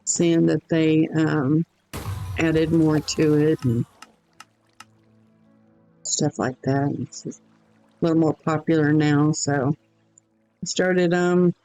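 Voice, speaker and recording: Slow, hoarse man chatting on stream. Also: fairly high pitch, steady tone, mellow, faint music behind, rough recording. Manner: slow, rambling, many ums and pauses. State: hoarse, voice going in and out. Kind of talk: casual gaming stream chat.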